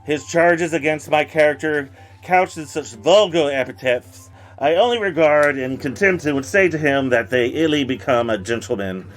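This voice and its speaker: An affronted gentleman of the nineteenth century, played by a man, defends his honour in a formal, pompous reading. His voice sits at a medium pitch, with faint music behind him. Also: natural voice. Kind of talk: dramatic reading of old newspaper notices.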